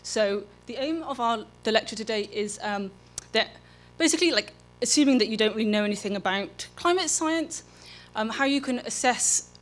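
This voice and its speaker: Female lecturer, informal and halting, interrupts herself, addressing a public event on a microphone. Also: fairly high pitch, animated. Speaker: public programs manager and lecturer. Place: live public lecture at an aquarium. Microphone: microphone for live streaming.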